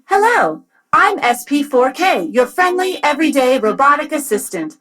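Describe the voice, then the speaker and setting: A processed voice playing a friendly, cheerful robot assistant through pitch shift and vocoder effects, chirpy and formulaic. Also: very animated, moderate pace, fairly bright tone. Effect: layered robot filter: pitch shift, chorus, vocoder. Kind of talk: scripted sound-effect demo line.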